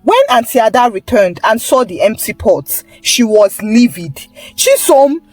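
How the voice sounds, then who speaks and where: A woman's voice: medium pitch, normal speed, darkish tone, no echo, faint music behind. A woman storyteller reading a scripted folktale narration.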